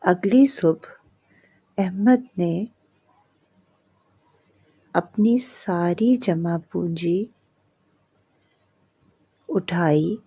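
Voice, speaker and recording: Dark, husky woman storyteller. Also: on the low side, pauses often, low-quality recording. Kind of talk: scripted narration of a moral story.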